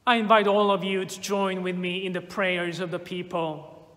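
A minister reading prayers in a slow, measured liturgical manner in a church sanctuary. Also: male voice, high-pitched, level tone, a bit bright, big-room sound. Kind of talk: leading prayers in a church service.

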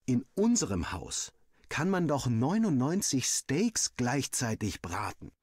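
Expressive, husky male storyteller. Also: medium pitch, takes it slowly, no echo, studio quality. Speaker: story narrator. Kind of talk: animated first-person scripted narration, like an audiobook.

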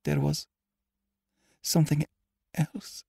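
A man voicing a terrified, haunted aristocratic lady in a tremulous, breathy, dramatic manner for a storybook. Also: on the high side, measured pace, mellow, dry recording, voice pushed up. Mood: fearful, tremulous.

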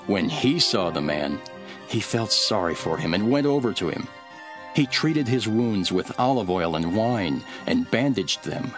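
An actor playing a calm, authoritative male teacher telling parables in an earnest, deliberate scripture drama. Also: fairly low pitch, over music. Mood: measured storytelling. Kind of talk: dramatised scripture reading, measured storytelling.

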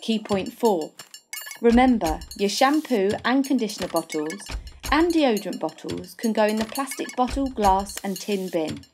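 A woman's voice: medium pitch, expressive, normal speed, darkish tone, a little hiss or hum, lightly acted. A young woman playing a sardonic narrator of a comic fairytale, wry and knowing in her scripted narration. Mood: instructive. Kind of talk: scripted storybook-style narration. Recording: music bed under voice-over.

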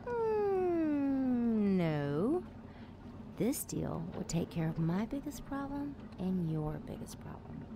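A woman's voice: on the high side, sing-song, slow pace, noisy background, full character voice. An actress playing a seductive, menacing supernatural temptress in a radio drama, coaxing as she speaks. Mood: coaxing, sinister.

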